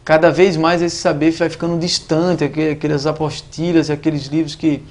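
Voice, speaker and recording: Um homem, artista de teatro, falando de um jeito solto numa entrevista, com pausas e recomeços. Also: male voice, a little high, flat delivery, darkish tone. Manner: loose, trails off and restarts. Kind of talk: casual interview.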